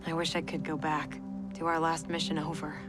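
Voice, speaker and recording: A woman's voice: low-pitched, little pitch movement, a little fast, natural voice. A woman playing a tough young soldier daughter in a video game, punchy and resolute. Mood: subdued. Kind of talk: video game drama acting. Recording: very loud music underneath.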